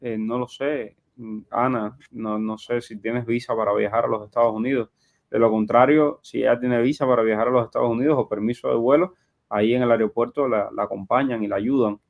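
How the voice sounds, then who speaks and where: Male live-show host, mid-pitched voice. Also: fairly even intonation, fairly quick, creaky, narrow, tinny audio. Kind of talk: live Q&A, reading audience questions aloud.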